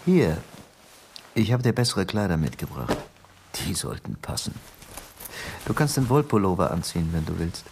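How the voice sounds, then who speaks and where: An actor playing a middle-aged male Soviet secret police chief in a radio drama, genial and persuasive, confiding with quiet menace. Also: sits low, very animated, normal speed, long pauses, a little hiss or hum. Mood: soothing.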